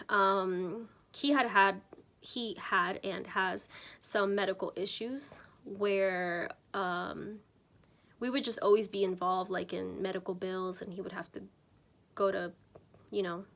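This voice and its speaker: A woman's voice: medium pitch, fairly bright tone. A woman in her twenties, from Mexico, telling her personal faith testimony to camera in a casual, unpolished way with many ums and self-corrections. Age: 20s. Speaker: church member sharing her testimony.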